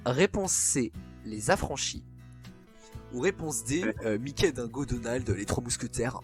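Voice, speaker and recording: A man's voice: mid-range voice, a little slow, soft background music. A man hosting a quiz game in a casual, chatty way, rambling off into side remarks and poking fun at himself.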